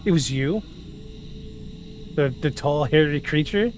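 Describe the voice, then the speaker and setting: A man's voice: on the high side, unhurried, a little hiss or hum. A man in his forties hosting a podcast, moving between scripted narration and joking, self-deprecating casual chat. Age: 40s. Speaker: podcast host.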